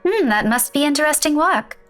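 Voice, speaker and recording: Game role: quick-witted young woman history teacher, friendly, curious, highly varied intonation, raised pitch. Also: lively intonation, fairly bright tone, soft background music. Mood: friendly curiosity. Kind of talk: visual novel game voice acting.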